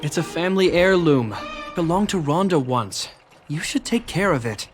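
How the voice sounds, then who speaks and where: Actor as earnest, reserved young guard captain. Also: male voice, a little high, lively intonation, moderate pace, soft background music, lightly acted. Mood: dry, exasperated. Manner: formal, reserved, sincere. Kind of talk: video game voice acting.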